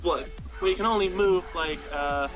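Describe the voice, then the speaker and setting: Young American man, high-pitched voice, casual storytelling, rambling, self-deprecating, clear background music. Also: normal speed. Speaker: podcast storyteller.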